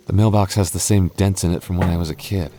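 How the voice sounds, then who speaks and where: Casting: male actor as young boyfriend for roleplay audio, low-pitched, soft-spoken, affectionate, nervously stammering. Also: noisy background, natural voice. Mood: flustered, nostalgic. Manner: hesitant, stammering, soft-spoken intimate delivery.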